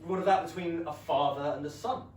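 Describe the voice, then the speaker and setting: A man acting a wry, wounded gentleman in a staged play reading, measured, articulate and probing. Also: on the high side, close to an everyday voice. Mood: challenging. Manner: ironic, probing, cutting. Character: wry, sceptical, wounded gentleman in love with his friend. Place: small theatre, recorded from a distance. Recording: roomy, distant theatre recording, faint noise.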